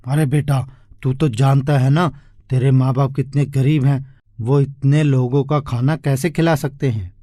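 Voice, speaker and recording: Poor village dad, played by a man, gentle and worried, pleading manner, dry close recording, storybook drama. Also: mid-range voice, fairly even intonation, unhurried, no echo, lightly acted. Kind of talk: Hindi moral story dialogue.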